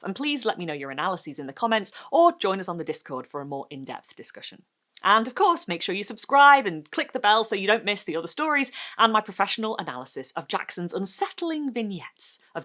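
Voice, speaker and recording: A woman hosting and narrating in a scripted, theatrical style, with dramatic lingering pauses. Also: medium pitch, sing-song, sharp tone. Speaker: host and story narrator.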